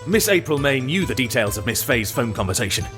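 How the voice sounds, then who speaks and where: A man's voice: mid-range voice, sing-song, brisk, loud music behind the voice, close to an everyday voice. Haughty, declamatory male prosecutor played by an actor. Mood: assertive. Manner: formal, pompous, crisply declamatory. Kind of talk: dramatised video game voice acting.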